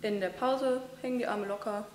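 A young woman, a voice training student, explaining and demonstrating breathing and voice exercises in a large bright hall, pausing now and then with fillers. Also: on the high side, steady tone, a little hiss or hum. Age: young adult. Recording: echoey hall.

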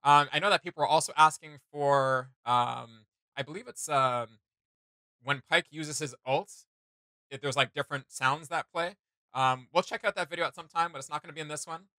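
Male reaction host, thirties, forceful bright delivery, slangy and rambling, dry close sound. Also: on the high side, moderate pace, no room sound. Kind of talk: casual reaction commentary. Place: small indoor home studio room.

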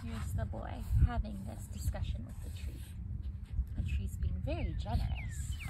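A middle-aged woman, a preschool teacher reading aloud to young children expressively, pausing to comment. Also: on the high side, wildly swooping pitch, creaky. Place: outdoors under a tree in a park. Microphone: camera a few metres away. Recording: noisy outdoor recording, ambient sounds.

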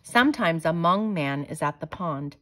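A woman reading a children's picture book aloud in a measured, expressive way. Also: deep voice, slight background noise. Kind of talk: storybook read-aloud.

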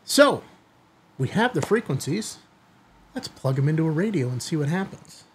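A middle-aged man hosting a hobby video, giving a product demonstration to camera in a husky voice. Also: a little high, sing-song, moderate pace, a little hiss or hum. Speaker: host of a ham radio video channel. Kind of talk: product test demo to camera. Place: small indoor studio room.

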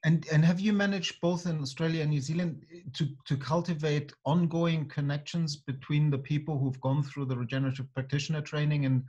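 A man's voice: a little high, fairly even intonation, brisk, few pauses, a little mellow. A man hosting a video call conversation from a home office, asking questions with hesitant restarts. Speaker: host. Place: home office with bookshelves, indoors. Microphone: webcam on video call. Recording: dull lo-fi call audio.

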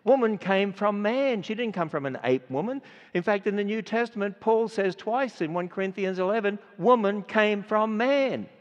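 An older man with an Australian accent preaching a sermon, stressing words and swinging between loud and quiet. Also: sits high, normal speed. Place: large auditorium. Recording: dull sound with long hall reverb.

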